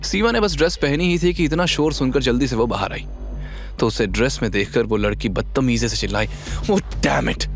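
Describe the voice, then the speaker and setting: A man's voice: medium pitch, a little fast. A man narrating a scripted drama story with dramatic storytelling delivery, over music. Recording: music bed under voice. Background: background music.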